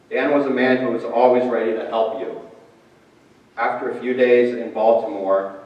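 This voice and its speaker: A man reading a written tribute aloud at a memorial service. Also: fairly low pitch. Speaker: family friend reading his father's tribute. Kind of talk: memorial speech read aloud. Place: large reverberant hall at a memorial service. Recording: muffled, echoey hall, distant mic.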